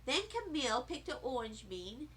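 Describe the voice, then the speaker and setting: Woman, children's story narrator, high bright voice, animated, expressive, deliberate. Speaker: storybook reader.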